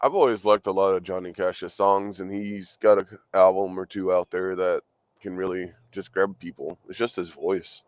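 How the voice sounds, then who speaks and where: A man with a deep voice chatting on a radio show, relaxed and teasing. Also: moderate pace, no echo, highs cut off. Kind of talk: casual chat.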